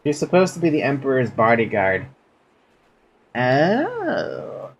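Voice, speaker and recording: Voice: mid-range voice, huge pitch swings, slow pace, lo-fi sound, slight background noise. A game streamer chatting casually and playfully with viewers in a rambling way while playing. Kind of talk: casual livestream chat over gameplay.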